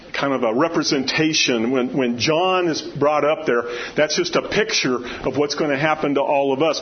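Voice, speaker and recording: A man preaches in a conversational but emphatic style, moving between scripture readings and personal asides. His voice is on the high side and carries strongly, and he often puts questions to his listeners. The recording is dull and lo-fi, with noticeable background noise. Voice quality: loud, carrying voice. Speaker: preacher teaching a Bible study.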